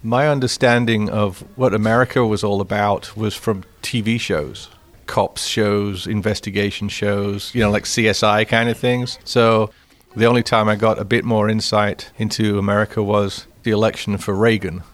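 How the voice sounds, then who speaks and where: An Englishman with an English accent looks back on his life in a low, level voice that barely rises or falls. His telling is measured and reflective, an unhurried personal account. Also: level tone. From England. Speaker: interviewee. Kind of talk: storytelling.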